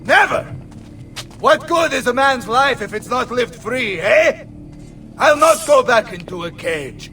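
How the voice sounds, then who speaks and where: An actor playing a bold, blustering Renaissance mercenary captain with an Italian accent in a video game. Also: male voice, very high voice, animated, measured pace, pushed and bright, a little hiss or hum, exaggerated voice. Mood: defiant, boisterous. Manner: blustering, theatrical. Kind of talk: video game cutscene acting.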